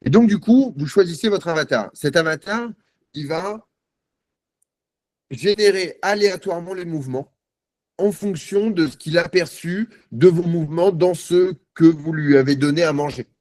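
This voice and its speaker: A man giving a live software demo on a video call, chatty and self-mocking, thinking aloud with many fillers. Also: on the high side, moderate pace, no room sound, studio quality. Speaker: guest presenter.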